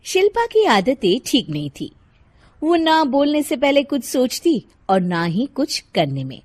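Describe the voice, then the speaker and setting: High-pitched woman narrating a story. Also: very animated, a little slow, a little mellow. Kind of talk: scripted moral-story narration for cartoon.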